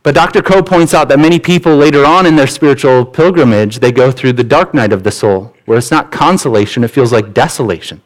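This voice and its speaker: A man's voice: medium pitch, fairly quick, few pauses, rough recording. A man preaching a sermon as pastor, earnest and conversational, often restarting his phrases. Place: church.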